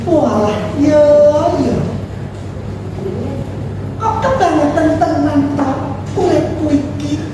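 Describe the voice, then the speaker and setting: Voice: high voice, slow pace, pauses often, pitched above the performer's own voice. A storyteller voicing a lonely, poor old widow who wails out her lament, half singing. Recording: echoey hall, far mic, loud noise.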